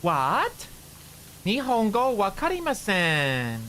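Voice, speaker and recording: A man putting on the voice of a silly, well-traveled old man who is a gag role-play character, talking slowly like a confused tourist. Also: sits high, huge pitch swings, sharp tone, slight background noise, pitched above the performer's own voice, exaggerated voice. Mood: bewildered. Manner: slow, drawn-out clueless tourist delivery.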